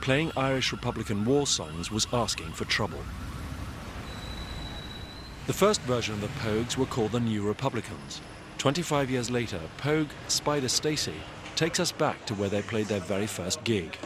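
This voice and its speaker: A man's voice: on the low side, expressive, moderate pace, background noise. A man reading scripted narration as the narrator of a documentary.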